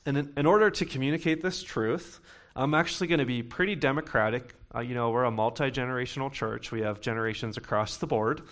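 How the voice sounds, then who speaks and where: A young man in his late twenties or thirties preaching a sermon to his congregation, talking conversationally and slowing down to read scripture. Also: mid-range voice, fairly bright tone. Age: young adult. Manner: conversational preaching with bursts of emphasis, reading scripture slowly. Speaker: pastor.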